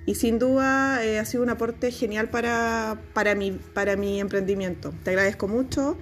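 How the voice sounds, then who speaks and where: A woman's voice: on the high side, fairly even intonation, moderate pace. A woman leaving a grateful voice message for a podcast, speaking hesitantly with frequent fillers. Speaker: listener sending a voice message. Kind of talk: recorded voice message.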